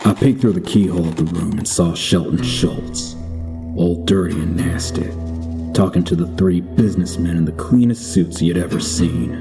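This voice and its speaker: Male actor as grizzled cowboy bounty hunter, low voice, laconic, wry, audio drama narration, music underneath. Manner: laconic, wry, tough-talking.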